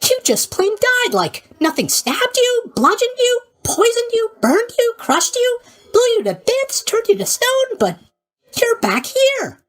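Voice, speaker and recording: A man's voice: extremely high pitch, huge pitch swings, unhurried, fairly bright tone, exaggerated voice. A man voicing a boyish, sleepy god of sleep in a video game, with a bubbly, scatterbrained, casually tactless manner. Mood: mock sympathy. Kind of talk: animated video game voice acting.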